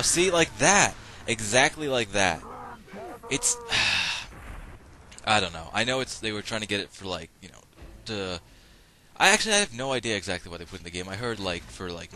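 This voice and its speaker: Man, raspy and hoarse from a cold, fairly low voice, bright and forceful tone, casual rambling game commentary. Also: slight background noise. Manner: rambling, self-interrupting, bursts of excitement at game action. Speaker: gameplay commentator. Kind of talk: casual running commentary over online gameplay.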